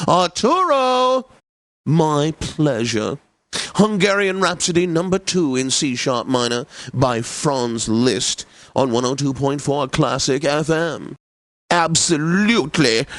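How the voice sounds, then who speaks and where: An actor playing an effete, snobbish classical radio DJ in a video game, with flowery, theatrically drawn-out enunciation and a pompous manner. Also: male voice, fairly high pitch, no echo. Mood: melodramatic, then suave, genial.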